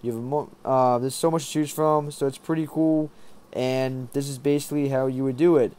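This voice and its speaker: A young man giving a casual app tutorial in a rambling, halting way, often repeating himself. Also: mid-range voice, steady tone, dark tone. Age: young adult. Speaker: tech tutorial presenter.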